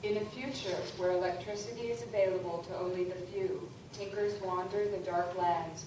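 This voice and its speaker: Flat, low-voiced woman narrator. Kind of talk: scripted narration. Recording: echoey hall from far off, background noise.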